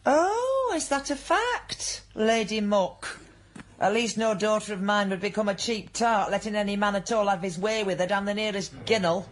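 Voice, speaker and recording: A woman's voice: mid-range voice, moderate pace, natural voice. A woman acting in a radio drama as a coarse, scornful poorhouse inmate, scolding and mocking in Northern English dialect.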